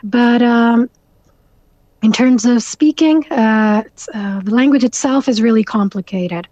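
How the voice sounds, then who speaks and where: A young woman, raised in Canada and speaking Urdu as her first language, answers interview questions with a slightly high, mellow voice. She pauses often and fills gaps with soft ums and uhs. The sound is dry and a bit muffled, like lo-fi remote audio. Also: no room sound. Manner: thoughtful, many fillers, soft laughs. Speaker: guest author.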